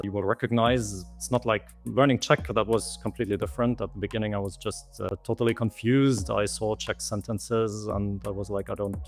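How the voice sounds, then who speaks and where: A man from southeast Germany, answering interview questions in a thoughtful, explanatory way as a guest. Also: fairly low pitch. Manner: thoughtful, explanatory, lightly amused. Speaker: guest, language course author.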